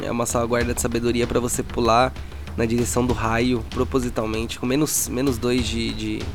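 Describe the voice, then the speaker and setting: A man with a Brazilian accent working as game master, narrating a tabletop role-playing story in a dramatic, deliberate way with pauses. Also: medium pitch, level tone. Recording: music bed behind the voice. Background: background music.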